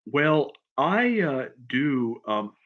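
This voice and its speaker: A man chatting casually on a livestream as a writer answering questions, in a halting, rambling way, often repeating and restarting his phrases. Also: medium pitch, very animated, highs cut off. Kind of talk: casual unscripted chat, answering viewer questions.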